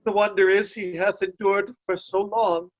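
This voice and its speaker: A woman's voice: medium pitch, flat delivery, normal speed, lo-fi sound, natural voice. A woman playing a loyal, sorrowful nobleman and servant in a Shakespearean play reading. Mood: grieving.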